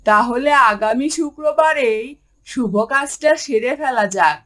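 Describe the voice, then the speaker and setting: A woman's voice: high voice, lively intonation, measured pace, a bit bright. A woman playing a status-minded, demanding mother of a groom in a cartoon. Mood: haughty, demanding. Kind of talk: cartoon dialogue acting. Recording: music behind the voice.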